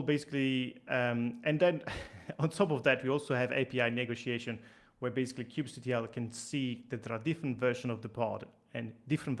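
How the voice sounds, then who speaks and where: A man's voice: medium pitch, gravelly. A man giving a casual online technical explanation, with fillers and repeated words. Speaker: trainer.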